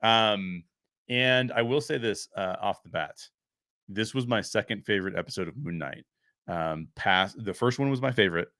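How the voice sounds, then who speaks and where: A man's voice: fairly low pitch, normal speed, bright, forceful tone, no room sound, clean studio sound. A man hosting a review show, giving casual, opinionated commentary in an explanatory way, stressing key phrases. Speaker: host of a pop-culture review show.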